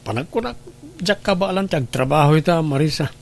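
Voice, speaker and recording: Lalaki nga agak-akem iti nasadut a nobio, nangato bassit ti timekna. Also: male voice, lively intonation, fast talker, vocal fry. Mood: complaining. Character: selfish, work-shy, wheedling boyfriend. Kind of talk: radio drama dialogue.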